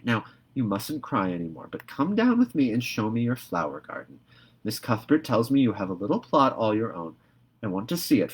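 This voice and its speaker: A man voicing a kind, merry young minister's wife in a storybook reading, speaking gently and soothingly. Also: lowish voice, breathy edge, rough recording.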